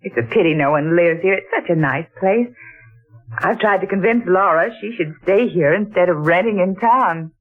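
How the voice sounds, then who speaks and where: An actress playing a bright, bustling married woman reassuring her friend, in 1940s radio acting, on a scratchy old radio recording. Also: fairly low pitch, normal speed, phone-quality sound, close to an everyday voice. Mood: cheerful.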